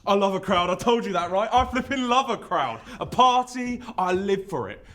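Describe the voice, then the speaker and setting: A man's voice: medium pitch, expressive, close to an everyday voice. An actor playing a lost, regretful young man who hides behind showmanship in a theatrical stand-up style monologue. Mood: forced showman cheer. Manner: confessional, halting, with showy bursts.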